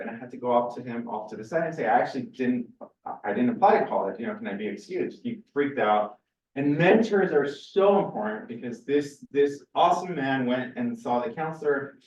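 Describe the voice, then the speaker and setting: Distant, echoey man giving a lecture. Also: medium pitch. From California, USA. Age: 40s. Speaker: research scientist giving a seminar. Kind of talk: informal public lecture, personal storytelling. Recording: roomy, distant, muffled lecture recording.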